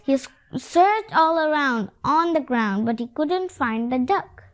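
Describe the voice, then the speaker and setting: A child reads a picture story aloud in a high voice. The delivery is slow and halting, moving between the written tale and simple explanatory asides. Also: expressive. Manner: halting, adds own comments on pictures. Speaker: story reader.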